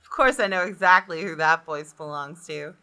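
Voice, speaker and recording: A woman gamer reading a visual novel aloud as expressive first-person story narration, with music behind her. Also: low voice, moderate pace, clean tone. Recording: guitar game music behind the voice. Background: game music with guitar.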